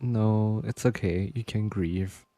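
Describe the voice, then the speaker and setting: A streamer giving casual, low-key muttered reactions during a game playthrough. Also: takes it slowly, warm tone. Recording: game music behind voice.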